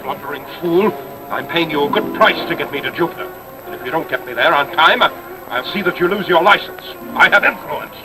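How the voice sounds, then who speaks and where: A man's voice: a little high, fairly quick, music in the background, natural voice. An actor playing an arrogant, impatient rich businessman in an old-time radio drama, curt and pompous. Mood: angry, alarmed. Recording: noisy vintage radio recording, engine drone.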